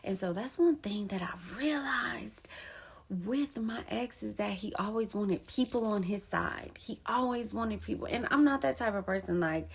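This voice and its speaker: A woman's voice: medium pitch, a little slow, vocal fry, husky. A young woman telling her own story of betrayal in an emphatic, casual way with plenty of slang. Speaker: poet and storyteller. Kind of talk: candid personal storytelling.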